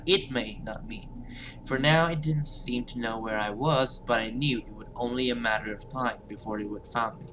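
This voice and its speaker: A man's voice: mid-range voice, noisy background. A man hosting a gaming video, reading story text aloud dramatically and reacting with casual asides.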